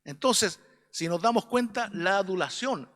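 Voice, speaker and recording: A man's voice: high voice, animated, unhurried, fairly bright tone, long reverb tail. A man with a Chilean accent teaching an adult Sunday school class, earnest and preachy, often checking that listeners agree. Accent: Chilean Spanish. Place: church classroom.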